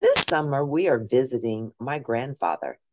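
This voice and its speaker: A woman teacher reading a children's picture book aloud at a deliberate pace, pausing to ask her listeners questions. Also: a bass voice, very animated, background noise.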